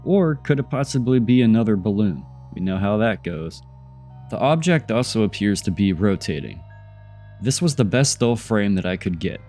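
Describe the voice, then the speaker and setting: Deep-voiced congested man narrating. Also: lively intonation, moderate pace, mellow, soft background music. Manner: skeptical, poses rhetorical questions. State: congested, nasal from a cold. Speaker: channel host narrating UFO footage. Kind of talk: voice-over commentary on video clips.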